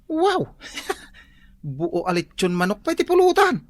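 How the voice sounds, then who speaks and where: A man's voice: high-pitched, wildly swooping pitch, unhurried, dry recording, heavily acted. A man acting a teasing, easygoing workmate in a comedy radio drama, bantering and exclaiming. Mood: surprised.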